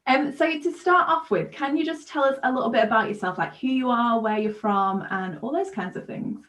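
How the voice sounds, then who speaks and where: A woman's voice: on the high side, brisk. A woman co-hosting a casual online faith chat, talking conversationally with plenty of "like" and "you know". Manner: warm conversational with fillers. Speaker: co-host.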